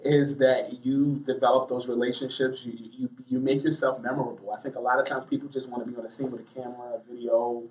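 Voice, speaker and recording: A male journalist giving conversational interview answers in a mid-range voice. He builds his points haltingly, often repeating words. The microphone sounds slightly distant. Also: medium pitch, little pitch movement, a little mellow. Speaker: interview guest, sports and entertainment journalist.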